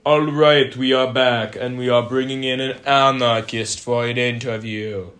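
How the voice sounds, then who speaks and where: A young man co-hosting an amateur mock 1920s radio broadcast, reading scripted news in a measured, stiff, formal way. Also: medium pitch, steady tone, fairly bright tone.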